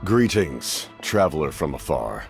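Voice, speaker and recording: A very low, creaky male game character, formal and secretive. He speaks in deliberate, measured phrases with wide swings in pitch, like a calm schemer setting out terms. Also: soft background music, exaggerated voice. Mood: courteous, ominous. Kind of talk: video game voice acting.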